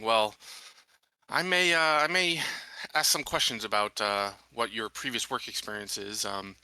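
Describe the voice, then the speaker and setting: Courteous colony marshal, acted by a man, hesitant and formal, very bright crisp voice, dry sound, tabletop roleplay. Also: on the low side, dry recording, rough recording. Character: courteous colony marshal interviewing a new recruit. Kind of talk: improvised in-character tabletop roleplay.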